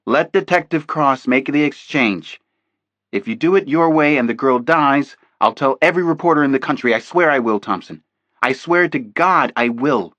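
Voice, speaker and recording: A man's voice: mid-range voice, expressive, normal speed, dry recording, lo-fi sound, voice pushed up, natural voice. A man voicing a determined woman who speaks firmly and insistently. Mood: defiant. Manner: forceful, insistent. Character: determined woman, fierce and firm.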